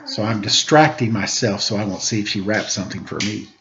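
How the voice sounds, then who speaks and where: A man reading a children's story aloud with an expressive, playful delivery, as a storyteller at home. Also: on the low side, fairly quick. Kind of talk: storybook read-aloud with casual asides. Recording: dull home recording with faint noise. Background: faint household background noise.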